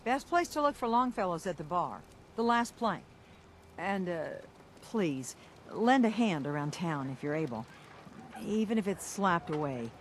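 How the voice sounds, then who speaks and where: Measured, weary harbor town leader. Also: female voice, lowish voice, very animated, a little hiss or hum. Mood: advising, wry. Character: weary, diplomatic female harbor town leader, firm peacekeeper. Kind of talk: video game dialogue acting.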